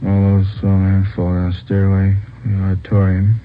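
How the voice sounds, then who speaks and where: A man's voice: low voice, monotone, moderate pace, lightly acted. A man playing a blunt, businesslike police lieutenant in a radio crime drama, curt and probing. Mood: brisk, probing. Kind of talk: 1950s radio drama acting. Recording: tinny, noisy old radio recording.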